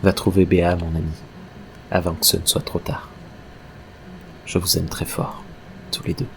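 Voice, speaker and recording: A man's voice: low-pitched, little pitch movement, normal speed, low-quality recording. An actor playing an obsessive, exalted male researcher given to professorial philosophical tirades in a French audio drama. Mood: grave, resigned. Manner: professorial, fervent, rambling monologues. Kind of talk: French science-fiction audio drama acting. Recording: clear background noise and ambience.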